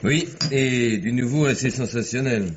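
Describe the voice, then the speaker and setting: A man playing a self-assured local police commissioner, measured and emphatic, in an old-style French radio mystery. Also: mid-range voice, natural voice. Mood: portentous. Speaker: actor. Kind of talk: 1950s French radio drama acting. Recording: muffled, hissy old radio recording.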